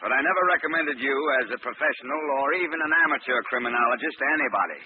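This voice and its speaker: Man as a testy, fast-talking police commissioner. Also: medium pitch, animated, lightly acted. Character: gruff, testy, self-important police commissioner. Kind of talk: 1940s radio acting. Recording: tinny, noisy old radio broadcast.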